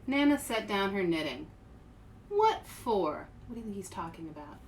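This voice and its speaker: An expressive woman host reads and chats for children at a medium pitch. She addresses her young listeners directly, with faint noise behind her voice. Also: fast talker. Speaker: author and show host. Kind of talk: children's picture book read-aloud with casual asides.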